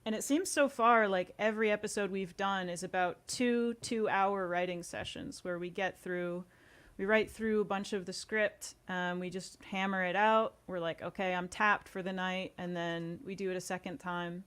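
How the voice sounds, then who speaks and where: A woman's voice: mid-range voice, fairly even intonation, moderate pace, fairly bright tone, smooth. A woman talking casually as an interview guest, chatty and informal. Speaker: podcast guest, audio series co-creator.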